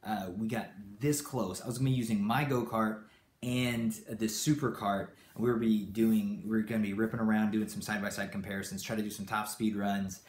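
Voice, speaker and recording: A man's voice: lowish voice, fairly even intonation, brisk, darkish tone, roomy sound. A man who grew up in Brazil, hosting a live stream and answering viewers in a rambling, casual way with many fillers and restarts. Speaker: channel host.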